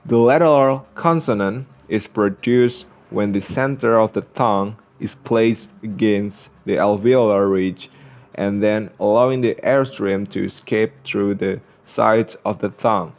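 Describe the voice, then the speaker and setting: A young man reads a scripted lesson as a student presenter, enunciating carefully and deliberately. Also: on the low side. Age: young adult.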